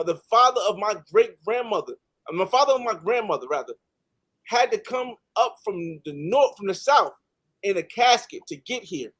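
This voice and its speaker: Male panelist from the United States, high voice, emphatic casual talk with repeated phrases for stress. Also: a bit bright.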